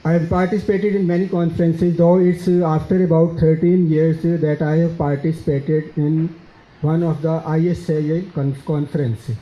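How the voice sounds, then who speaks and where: A man with a Pakistani accent answers audience questions at a conference, speaking haltingly with many fillers and repeated words. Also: fairly high pitch, level tone. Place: conference hall. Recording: dull, echoey conference hall.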